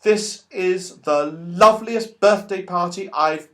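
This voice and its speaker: A man's voice: high-pitched, takes it slowly, bright, forceful tone, pitched above the performer's own voice, exaggerated voice. A man voicing a delighted little girl in a children's storybook, gushing and dreamy. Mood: happy wonder. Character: Beth, happy little girl delighted by party.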